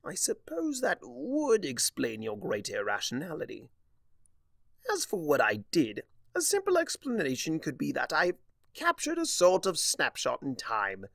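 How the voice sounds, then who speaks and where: A man's voice: fairly high pitch, huge pitch swings, measured pace, gravelly, a bit bright, no echo, pitched above the performer's own voice, full character voice. A man voicing a mocking, vengeful chaos creature in a fan fiction reading, with a pompous, wordy, taunting manner. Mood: smug mocking. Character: theatrical, mocking, vengeful male chimera trickster of chaos.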